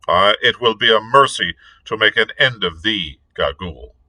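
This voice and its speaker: A man's voice: fairly low pitch, lively intonation, bright, forceful tone, exaggerated voice, poor audio. A man voicing a stern young king who speaks in grave, formal old-fashioned language. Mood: commanding. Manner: solemn, measured archaic speech.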